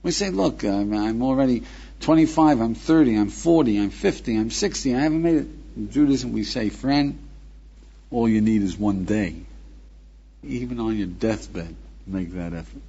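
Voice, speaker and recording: A man's voice: medium pitch, normal speed, creaky, mellow, husky, lo-fi sound. A man teaching as a rabbi, lecturing emphatically with rhetorical questions and calling his listener "friend". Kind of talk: recorded lecture.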